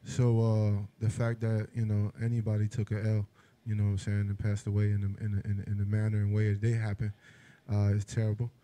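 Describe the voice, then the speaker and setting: A man from Ohio, a guest artist, chatting casually in a laid-back, measured way with many "you knows". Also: on the low side, completely flat delivery, warm tone. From Ohio, born in Puerto Rico. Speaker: hip hop artist guest. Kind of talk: casual interview chat.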